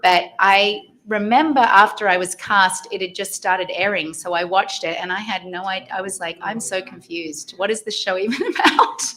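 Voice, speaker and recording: A woman's voice: medium pitch, steady tone, normal speed, hardly any pauses, sharp tone, rough recording. Chatty Australian woman at a fan panel. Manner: chatty, laughs often, rambling with fillers. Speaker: actor guest at a fan convention panel. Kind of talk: casual panel chat.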